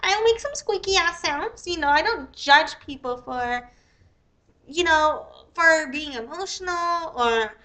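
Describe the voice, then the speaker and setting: A young woman with a squeaky voice who talks in a rambling, profane, casual livestream style. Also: expressive, unhurried, bright, forceful tone. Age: young adult. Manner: rambling, profane, many fillers. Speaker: live streamer.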